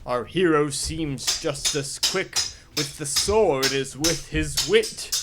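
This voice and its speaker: A dramatic radio serial narrator, played by a man, with a voice set a bit high. He delivers scripted adventure narration over clear background noise. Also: slow pace. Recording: clearly noisy recording.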